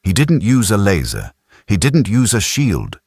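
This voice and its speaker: A man's voice: lowish voice, wildly swooping pitch, unhurried, a little mellow, breathy edge, no room sound, studio quality. A man narrating a science fiction audiobook in a dramatic, scripted style.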